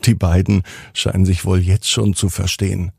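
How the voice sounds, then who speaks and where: A man's voice: sits low, little pitch movement, moderate pace, vocal fry, warm tone, slightly rough, no echo, studio quality. A man narrating a bedtime story for children as the host and storyteller.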